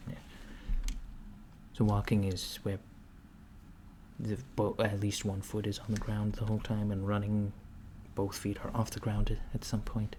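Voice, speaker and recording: A man playing a mild-mannered, curious alien interviewer in softspoken ASMR roleplay, asking hesitant, politely puzzled, clinical questions. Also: lowish voice, close to an everyday voice. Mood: calmly inquisitive. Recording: clear background noise, keyboard typing. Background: computer keyboard typing.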